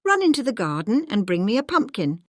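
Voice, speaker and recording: A high, swooping British fairy godmother, acted by a woman narrator for a storybook. She is brisk and kindly, coaxing and commanding in old-fashioned speech. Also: very animated, no room sound, clean studio sound. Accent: British English. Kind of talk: storybook audiobook acting.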